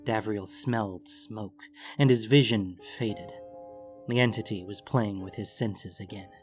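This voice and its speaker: A man's voice: fairly low pitch, measured pace, faint music behind. A man narrating a scripted fantasy story as an audiobook.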